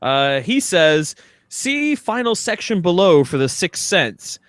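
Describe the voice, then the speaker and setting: A man's voice: high voice, big pitch swings, slow, a bit bright, no echo, studio quality. A man co-hosting a podcast, chatting casually and doing mock-dramatic readings in an amused, rambling way. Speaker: podcast co-host.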